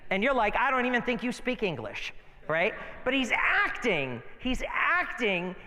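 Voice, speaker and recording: An American man preaches in a high-pitched voice that rings through a large, echoing hall. He moves between reading scripture and telling stories in an informal, animated way, often putting rhetorical questions to his listeners. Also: measured pace. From northeastern United States. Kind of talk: conversational sermon with Bible readings and anecdotes. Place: large reverberant hall, live sermon. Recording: long hall reverb.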